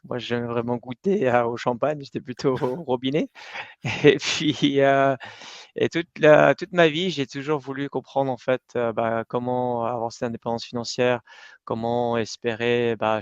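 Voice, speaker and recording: A middle-aged man speaks in a smooth, clean voice that barely rises or falls. He chats casually as an interview guest, full of fillers and restarts. The recording is dry and a little dull. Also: mid-range voice, no echo. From Iran and Switzerland, raised in the United States. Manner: animated storytelling with many fillers and restarts. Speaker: company cofounder, interview guest.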